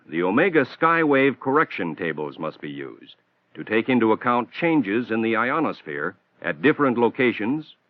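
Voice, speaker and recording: A man giving measured, scripted technical narration for an instructional film. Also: lowish voice, no echo. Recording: tinny narrow-band old film soundtrack.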